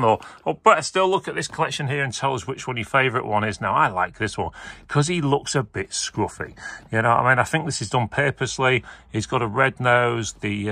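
Chatty bright-voiced auctioneer. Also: male voice, mid-range voice, gravelly. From England. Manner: chatty, rambling, with many fillers. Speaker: auctioneer showing lots. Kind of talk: casual video walkthrough. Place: indoors beside a leather armchair.

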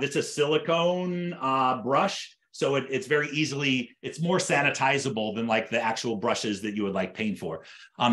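A man's voice: medium pitch, a bit bright. A man in his fifties giving a conversational live cooking demonstration from a home kitchen, explaining things by repeating and rephrasing. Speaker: chef teaching an online cooking class.

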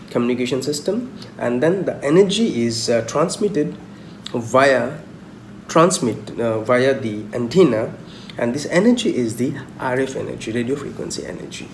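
A young man lectures informally on a technical subject at a middling pitch. He explains haltingly, repeats his points and poses questions that he then answers. The sound is roomy and echoey, with noticeable background noise. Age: young adult. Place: small indoor room at home.

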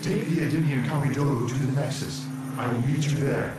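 A man's voice: medium pitch, a little fast, echoey room, distant mic, heavy background noise, natural voice. A man voicing a solemn, bodiless spirit guide in a video game, formal and portentous. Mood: solemn, expectant. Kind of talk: video game cutscene acting. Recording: loud game music behind.

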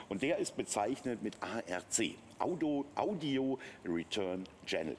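A middle-aged man demonstrates a product in a TV shopping studio, with clear noise behind him. His fairly low voice swings widely in pitch as he talks. He is chatty and enthusiastic, improvising his pitch and repeating phrases for emphasis. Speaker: TV shopping presenter. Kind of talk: unscripted live product demonstration.

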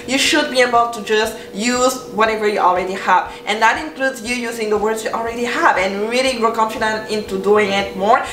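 A woman's voice: on the high side, fairly bright tone. A young woman with a French accent, a native French speaker, giving encouraging advice to the camera in an upbeat talking-head vlog from a room with bookshelves. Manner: upbeat, encouraging, repetitive emphasis. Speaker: YouTuber. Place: bedroom with bookshelves, indoors. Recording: roomy sound, mic at a distance.

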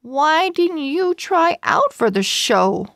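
A woman's voice: sits high, big pitch swings, slow pace, fairly bright tone, no room sound, studio quality, pitched above the performer's own voice, heavily acted. A woman voicing a small male pug in a children's storybook, earnest and easily exasperated. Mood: amazed.

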